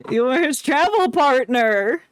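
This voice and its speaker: High-voiced, unhurried playful woman streamer. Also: animated, dry recording. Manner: playful, emotive, exclamatory. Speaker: game streamer. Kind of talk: casual live reaction commentary. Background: game music.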